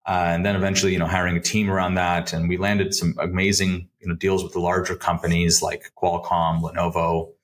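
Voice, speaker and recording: A man's voice: deep voice, flat delivery, brisk, hardly any pauses. A man in his thirties from Southern California with an American accent, talking conversationally as an interview guest. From Southern California, United States. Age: 30s. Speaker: podcast guest, advisory firm founder. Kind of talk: conversational career storytelling in an interview.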